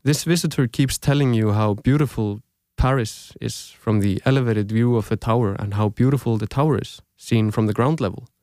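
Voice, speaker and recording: A young man with an Icelandic accent, a native Icelandic speaker, narrating a scripted podcast as its host in a measured, reflective storytelling way. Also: mid-range voice, dark tone, no echo, clean studio sound. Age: young adult.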